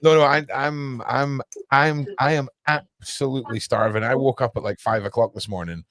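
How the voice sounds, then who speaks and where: A man's voice: medium pitch, normal speed, a bit bright, no room sound. A British man hosting a casual online panel, talking in a rambling, repetitive way and arguing jokingly. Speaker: host of an online panel show. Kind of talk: casual chat.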